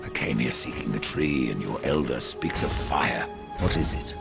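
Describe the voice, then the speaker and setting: A gravelly sardonic Death. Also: male voice, rumbling low, moderate pace. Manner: dry, cutting, terse. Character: grim male horseman Death, cold and sardonic. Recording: game music behind the voice.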